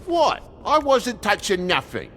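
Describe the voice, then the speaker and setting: A man voicing a cheeky, crude, excitable talking toad in a video game. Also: high-pitched, a little slow, a little hiss or hum, heavily acted. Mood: defensive. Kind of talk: animated video game acting.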